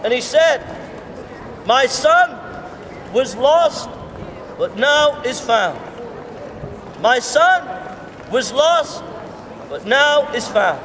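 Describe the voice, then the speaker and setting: A middle-aged man with a very high voice preaches at an unhurried, deliberate pace, hammering home repeated phrases. He is caught by a camera some way off on an open shopping street. The sound is roomy, with a long echo off the buildings, and town noise and traffic are clear behind him. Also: big pitch swings, a bit bright. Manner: slow, repetitive, emphatic preaching cadence. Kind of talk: open-air street sermon. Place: pedestrian shopping street outdoors. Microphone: far camera. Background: town street ambience and passing traffic.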